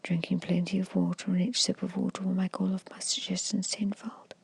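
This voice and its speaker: A woman guides an ASMR meditation in a very breathy whisper. Her tone is soft and mellow, with little rise or fall in pitch. She delivers gentle, repeated hypnotic suggestions in a hushed way. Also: flat delivery, a little fast, dry recording. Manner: hushed, repetitive, gently instructing.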